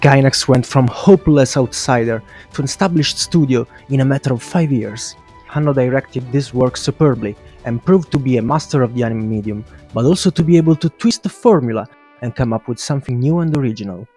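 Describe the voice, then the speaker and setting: A man's voice: mid-range voice, fairly quick, darkish tone. A man narrating a scripted video essay in a brash, opinionated way, swearing casually and addressing viewers directly. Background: music.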